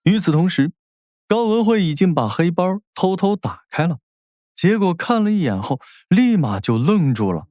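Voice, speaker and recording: Animated, higher-pitched male audiobook narrator. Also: moderate pace, dry recording. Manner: expressive storytelling.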